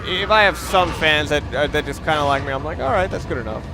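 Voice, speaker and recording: Higher-voiced man rambling over game music. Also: fast talker, gravelly. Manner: rambling, self-deprecating. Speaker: gaming video creator. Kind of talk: casual let's play commentary. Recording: loud game music behind. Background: video game music and sounds.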